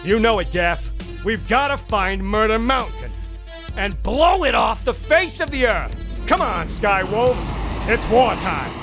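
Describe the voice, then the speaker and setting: A man's voice: high-pitched, sing-song, measured pace. A man playing a bold, gung-ho American squadron leader in a motion comic, slangy and commanding, with an American accent. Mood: determined. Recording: loud music behind.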